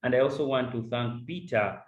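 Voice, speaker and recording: A man's voice: medium pitch, moderate pace, echoey room, rough recording. A man from Uganda speaking earnestly as a discussant on a webinar call, often repeating words as he starts a point. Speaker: panel discussant. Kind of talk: webinar remarks. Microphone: video call.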